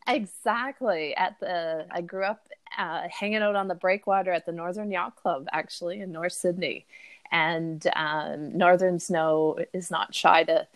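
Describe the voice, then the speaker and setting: Woman, middle-aged, interview guest, low voice, dry and close, loose chatty delivery. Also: steady tone, unhurried, no room sound. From Cape Breton, Canada. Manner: rambling, many fillers and restarts. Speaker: podcast guest. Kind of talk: casual interview talk.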